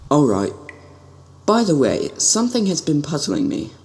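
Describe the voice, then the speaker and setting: A man's voice: fairly high pitch, wildly swooping pitch, normal speed, a little mellow. A man playing an earnest, curious young prince in an amateur radio drama, with a formal and stiffly polite way of speaking. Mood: puzzled.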